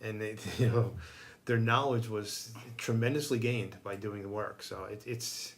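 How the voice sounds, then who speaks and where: A man's voice: on the low side, steady tone, measured pace. A middle-aged American man, a fire chief, talking casually and emphatically as an interview guest.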